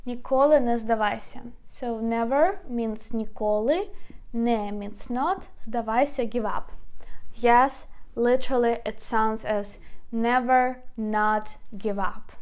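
A young woman with a Ukrainian accent teaching a video language lesson, explaining patiently with fillers and slowing down for key words, recorded on a lapel mic in a small room. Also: on the high side. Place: small indoor room by a whiteboard.

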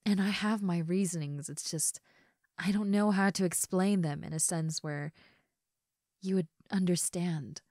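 Low-pitched, tender ex-girlfriend teacher, acted by a woman. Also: measured pace, no room sound, studio quality. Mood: wistful, confessional. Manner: soft sighs, hesitant heartfelt delivery. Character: clingy young ex-girlfriend substitute teacher, tender and regretful. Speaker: roleplay audio voice actress. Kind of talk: intimate dramatic roleplay monologue. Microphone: close studio mic, dry.

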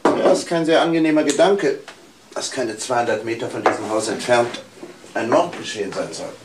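An actor playing a courteous, measured doctor and gracious host in a crime radio drama. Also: male voice, mid-range voice, lightly acted. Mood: polite, pensive. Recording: roomy, noisy old drama recording.

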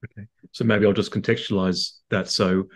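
A man's voice: on the low side, level tone, moderate pace. A man in his thirties with an Australian accent, a psychiatrist answering interview questions over a video call, articulate and explanatory with frequent restarts. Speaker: psychiatrist guest. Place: home room indoors.

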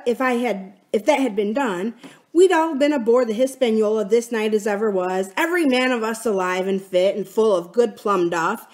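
A woman plays a cunning old-salt pirate captain from a storybook. The talk is folksy and sardonic, full of scorn, and the high-set voice swings widely up and down. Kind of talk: dramatic storybook character reading.

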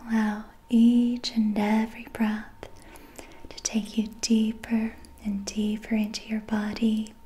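A young adult woman guiding a relaxation in a soft, breathy ASMR whisper, soothing, gentle and unhurried. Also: a little high, monotone. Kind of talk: whispered ASMR guided meditation. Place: small dim quiet room indoors.

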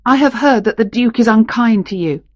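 An ardent gentleman captain from a romantic storybook, played by a woman narrator. He pleads earnestly with his beloved, the pitch lifted. The sound is dry. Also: normal speed, dry recording, muffled recording, lightly acted. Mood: ardent, concerned.

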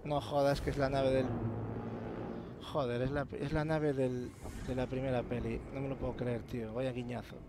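A man from commentating a horror game, chatty and excited, swearing often. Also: medium pitch, normal speed. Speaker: gamer. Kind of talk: let's play commentary. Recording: noisy game audio underneath. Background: game ambience.

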